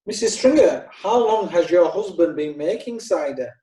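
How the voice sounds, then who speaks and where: A brisk, formal prosecutor, played by a man questioning each witness in turn. His mellow, fairly high voice sounds distant and echoey in the room. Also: expressive. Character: businesslike male prosecutor. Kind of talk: amateur radio drama.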